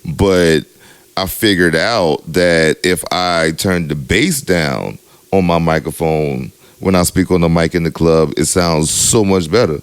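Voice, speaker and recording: A young adult man with a very deep voice, co-hosting a podcast in casual chat, rambling with lots of fillers. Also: measured pace, smooth, no room sound. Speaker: podcast co-host, DJ.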